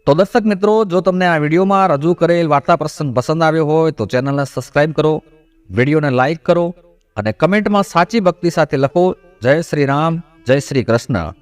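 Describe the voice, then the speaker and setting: A man's voice: on the high side, fairly quick, dry recording. A man narrating a scripted moral story in a didactic manner. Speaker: narrator of devotional moral stories. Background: background music.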